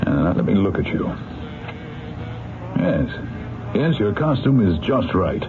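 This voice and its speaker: An actor in a 1970s radio drama playing a defense lawyer who is probing yet reassuring, with a measured, questioning manner. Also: male voice, on the low side, big pitch swings, over music, heavily acted. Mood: reassuring, fussy. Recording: muffled, phone-like old radio broadcast.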